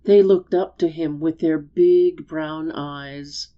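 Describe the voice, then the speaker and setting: A woman narrating a storybook as a dramatic reading, measured and expressive. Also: sits low, highs cut off.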